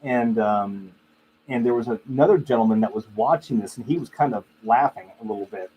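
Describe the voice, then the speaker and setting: A man's voice: mid-range voice, fairly quick, dark tone. A man hosting a casual livestream, talking to viewers in a conversational, opinionated, anecdotal way. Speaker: livestream host. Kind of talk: casual chat with live viewers. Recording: clearly noisy live stream audio.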